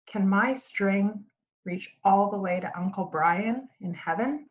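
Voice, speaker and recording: A woman's voice: medium pitch, slow pace, dull audio, lightly acted. A woman voicing a curious, wondering young boy in a children's storybook. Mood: quiet, wondering. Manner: wondering, questioning. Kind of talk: children's storybook read-aloud.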